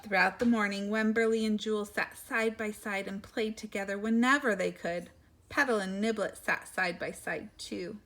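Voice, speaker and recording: A woman's voice: mid-range voice, fairly even intonation, normal speed, fairly bright tone. A woman reading a picture book aloud expressively, like a teacher talking to young children, with asides to her listeners.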